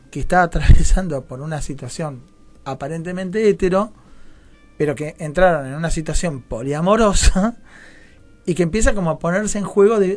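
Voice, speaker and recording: Higher-voiced Argentine man reflecting aloud. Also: darkish tone. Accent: Rioplatense Argentine Spanish. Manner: discursive, circling explanations with rhetorical questions. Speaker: guest playwright. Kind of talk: reflective conversational interview.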